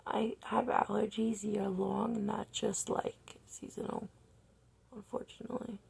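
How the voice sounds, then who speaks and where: A young woman vlogging a makeup tutorial at home, talking casually and softly, often near a whisper, with a slightly raspy voice, recorded close on a phone in a bedroom. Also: mid-range voice, little pitch movement, unhurried, a little hiss or hum. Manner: soft-spoken, often near a whisper while working. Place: bedroom, indoors. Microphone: phone camera close up.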